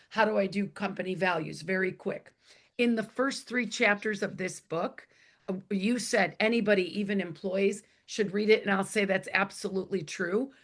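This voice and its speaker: A woman with a hoarse voice and a middle-range pitch talks as a guest expert in an interview. She explains things in plain, emphatic language and conversational phrasing. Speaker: interview guest, author and executive coach.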